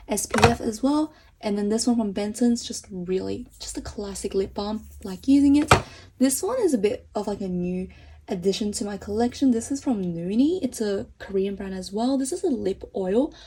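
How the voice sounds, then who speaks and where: Hoarse-edged chatty woman vlogger, mellow tone. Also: on the high side. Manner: chatty, enthusiastic, filler-heavy. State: voice getting hoarse. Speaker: beauty vlogger. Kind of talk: casual product review.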